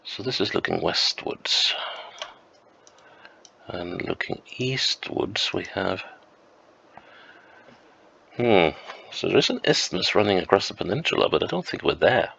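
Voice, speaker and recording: A man with a flu, sounding croaky and sniffly, thinking aloud slowly and hesitantly as he plays a map game at home. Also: deep voice, expressive, bright, forceful tone, highs cut off, a little hiss or hum. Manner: slow, musing, hesitant with many ums. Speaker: game streamer. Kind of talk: thinking-aloud gameplay commentary.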